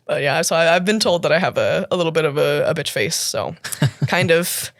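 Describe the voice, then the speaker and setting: Woman in her twenties, low dry voice. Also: expressive, brisk, no room sound. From Canada. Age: 20s. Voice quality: deeper voice than expected. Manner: chatty, laughs often, many fillers and restarts. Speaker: guest, actor and cosplayer. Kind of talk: casual interview chat.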